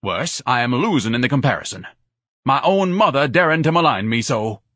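A bombastic, self-important grown son, as a male narrator plays him, declaiming his complaints loudly. The voice sits a little above the reader's own and sounds lofty. The sound is dry and close. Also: medium pitch, big pitch swings, a little fast, no room sound, voice pushed up. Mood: indignant. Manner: grandiloquent, huffy, loud.

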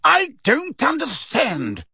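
Madam Bones, a man doing a booming older female judge. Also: huge pitch swings, vocal fry, pushed and bright, no echo, studio quality, exaggerated voice. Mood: probing. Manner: booming, slow and emphatic.

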